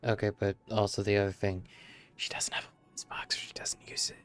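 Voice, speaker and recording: A man with a fairly low voice commenting on a game as he streams it. He talks casually but argues back, skeptical and pointed in his delivery. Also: flat delivery, fairly quick, in a whisper. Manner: argumentative, skeptical. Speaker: game livestreamer.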